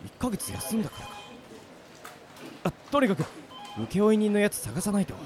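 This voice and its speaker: A man voicing a bewildered young office worker who finds no one can see him, talking in rough casual speech, in an amateur radio drama. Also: sits high, animated, moderate pace, soft background music. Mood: muttering.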